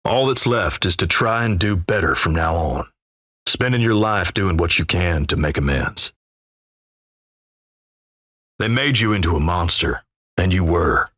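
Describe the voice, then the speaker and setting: A man playing a gruff, folksy, wry Old West narrator in a video game, with a gravelly American Western drawl and measured, ominous storytelling. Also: deep voice, expressive, a bit bright, dry recording, clean studio sound, full character voice. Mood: somber, judging. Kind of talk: dramatic second-person scripted game narration.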